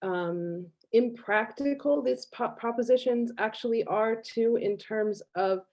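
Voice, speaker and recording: A woman speaking casually on a video call, animated, laughing often and using lots of fillers. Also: fairly high pitch, unhurried. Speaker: guest artist. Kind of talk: casual online conversation. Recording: slightly dull video call audio.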